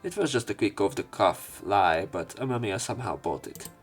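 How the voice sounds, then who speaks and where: A man's voice: on the low side, animated, normal speed. A man reading a visual novel aloud as a let's player, mixing narration with casual, crude commentary. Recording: background game music. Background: game music.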